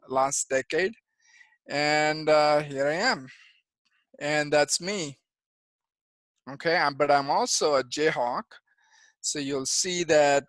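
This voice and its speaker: A man in his thirties from India, an engineer giving a career-day talk to children over a video call, explaining things simply with frequent pauses and restarts. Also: a little high, fairly bright tone, dry recording. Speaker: guest engineer and project manager. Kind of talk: presentation for young children.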